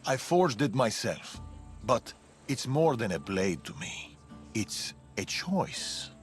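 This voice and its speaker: An actor playing a guarded, weathered male veteran assassin from Persia in a video game, measured and serious. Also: medium pitch, very animated, faint music behind. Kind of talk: video game cutscene acting.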